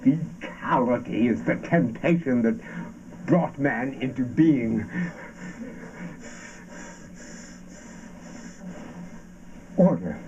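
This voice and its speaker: An actor playing a fervent, accusing orator in a surreal avant-garde radio play, declaiming haltingly with drawn-out words. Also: male voice, medium pitch, animated, a little mellow, a little hiss or hum, close to an everyday voice. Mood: solemn, incantatory. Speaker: stage actor. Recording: dull lo-fi stage performance recording.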